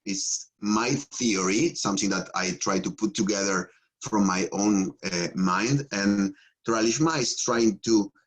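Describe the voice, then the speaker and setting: Argentine-accented male rabbi, low voice, halting teaching talk with fillers and small jokes. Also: poor audio. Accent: Argentine Spanish. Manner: joking, halting, many fillers and restarts.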